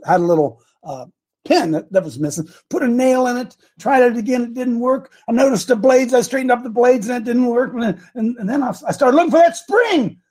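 An American man with a raspy voice hosting a religious talk show, chatting casually in a folksy, animated way and telling rambling personal stories. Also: very high-pitched. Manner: folksy, animated, repeats phrases for emphasis.

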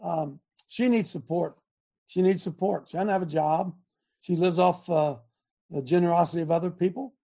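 A man's voice: high-pitched, steady tone, normal speed, gravelly, mellow. A man hosting a casual talk show, folksy and repetitive, often saying amen. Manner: folksy, repeats phrases, says amen often. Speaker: host.

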